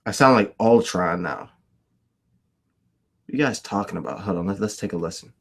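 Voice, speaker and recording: A man's voice: sits low, lively intonation, normal speed, creaky, breathy edge. A man hosting a casual livestream, who laughs often, repeats himself and answers his chat. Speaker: livestream host.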